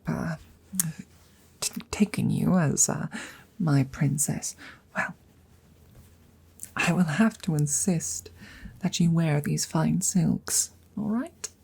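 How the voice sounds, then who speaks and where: A woman voicing a courteous, eccentric female dragon in an ASMR fantasy roleplay, speaking in a soft breathy whisper with halting, formal, coaxing phrasing. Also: sits low, exaggerated voice. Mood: gently coaxing.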